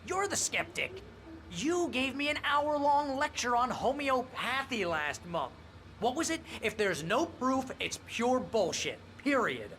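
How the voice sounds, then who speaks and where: An actor playing a nervous, sarcastic American best friend and agent in a video game cutscene. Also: male voice, very high voice, big pitch swings, unhurried, bright, forceful tone, full character voice. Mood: exasperated, pleading. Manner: sarcastic, fussing, exasperated.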